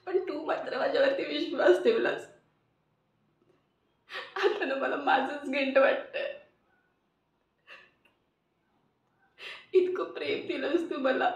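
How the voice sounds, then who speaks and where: A woman acting an emotional audition monologue as a remorseful young woman, tearful and halting as she pleads for forgiveness. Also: high-pitched. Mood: tearful regret. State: crying. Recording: roomy, muffled, distant mic.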